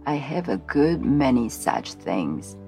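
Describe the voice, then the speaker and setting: A woman voicing a very old, calm and mysterious great-great-grandmother, speaking slowly and low. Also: gravelly, faint music behind, heavily acted, low-quality recording. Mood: serene. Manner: slow, low, measured.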